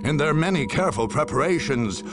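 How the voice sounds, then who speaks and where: An actor narrating a game cutscene as a grave chronicler of war, theatrical, measured and ominous. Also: male voice, fairly high pitch, lively intonation, over music, close to an everyday voice. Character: grave male chronicler of a grim war saga.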